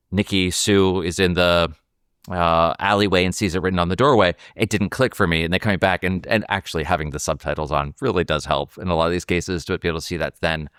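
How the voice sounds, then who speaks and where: A man co-hosting a film podcast, talking casually in halting, hesitant phrases. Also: sits low, a bit bright, clear, resonant tone, dry recording, clean studio sound. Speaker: podcast co-host.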